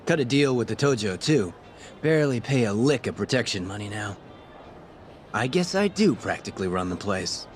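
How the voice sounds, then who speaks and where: An actor playing a corrupt police detective in a video game, cocky and street-wise, talking in slangy, smug, teasing lines. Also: male voice, fairly low pitch, animated, moderate pace, a little hiss or hum, natural voice. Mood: boastful. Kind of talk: video game cutscene acting.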